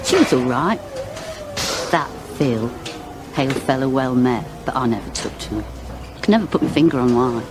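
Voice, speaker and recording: A woman's voice: very deep voice, sing-song, normal speed, long pauses. A woman playing a guarded, blunt, chain-smoking barmaid in her fifties in an audio drama. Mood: guarded, dismissive. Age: 50s. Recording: music behind the voice.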